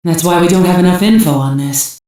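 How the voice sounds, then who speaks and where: A woman's voice: fairly low pitch, fairly quick, dark tone, far from the mic, close to an everyday voice. A woman playing a cool, authoritative cyborg special-operations commander in an English-dubbed anime game, clipped and composed. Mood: resolute. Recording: roomy heavy echo, off mic.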